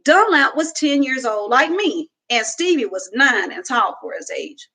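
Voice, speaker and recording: A young woman narrates a children's chapter book slowly and expressively, her bright, high voice rising and falling. She reads at home into a webcam. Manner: slow, expressive storytelling. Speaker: reader. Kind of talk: children's book read-aloud. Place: home room, indoors.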